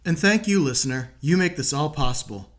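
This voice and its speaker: Casting: male podcast host, slightly high-pitched voice, interview style. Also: expressive, a little fast. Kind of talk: interview hosting.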